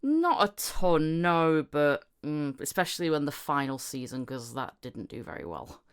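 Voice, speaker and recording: A woman hosting a casual podcast interview, giggly and quick to laugh as she asks her questions. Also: sits low, big pitch swings, clear, resonant tone. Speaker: podcast host.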